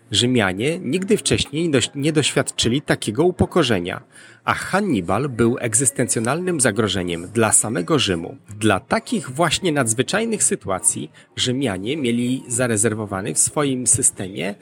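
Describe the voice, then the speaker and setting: A man's voice: medium pitch, big pitch swings, normal speed. A man hosting an educational podcast, reading scripted narration.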